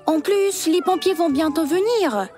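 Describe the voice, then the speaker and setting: A woman voicing a kind, thoughtful young girl who reasons through problems gently in a dubbed cartoon. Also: very high-pitched, measured pace. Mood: caring. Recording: cartoon music score behind.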